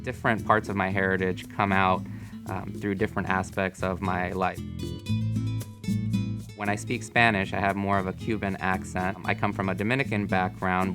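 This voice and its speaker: Calm low-voiced man, even delivery. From United States (Miami, Florida). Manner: calm, measured, with occasional fillers. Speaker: emergency physician. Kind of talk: interview testimonial.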